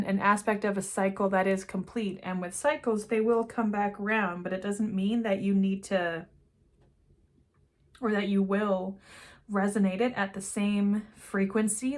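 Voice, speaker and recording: A woman talks through an intuitive reading in an unscripted, musing way, her thoughts wandering and her voice sinking into quiet asides. She speaks very slowly, in a mid-range voice that is neither high nor low. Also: steady tone. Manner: slow, meandering, trailing off into quiet asides. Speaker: intuitive card reader. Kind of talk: unscripted spiritual reading to camera.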